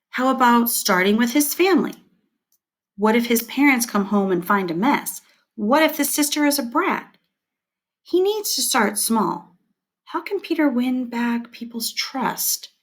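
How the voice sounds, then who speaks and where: A woman teacher reading scripted instructional material aloud, setting out headings and short points in a measured, deliberate way. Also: a little high.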